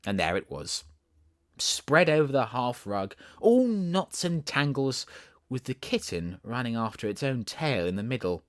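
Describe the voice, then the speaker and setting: A man with a Southern accent reading a storybook as an audiobook narrator, in an expressive, theatrical way. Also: medium pitch, a little slow, no room sound, clean studio sound.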